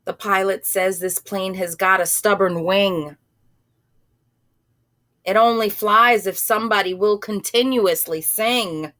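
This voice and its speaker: A woman's voice: medium pitch, slow pace, fairly bright tone. A woman voicing a gloomy, defeatist aeroplane passenger in a storybook.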